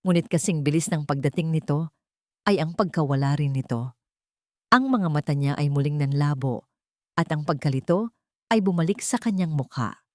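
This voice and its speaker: A woman's voice: deep voice, moderate pace, long pauses, a little mellow, dry recording, clean studio sound. A woman with a Filipino accent narrating a dramatic story from a script. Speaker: audiobook narrator.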